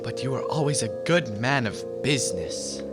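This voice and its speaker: An actor in a radio drama playing a crotchety, frightened old miser with a theatrical, exclamatory delivery. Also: male voice, medium pitch, expressive, normal speed, natural voice. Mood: uneasy, timid. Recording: loud music behind the voice.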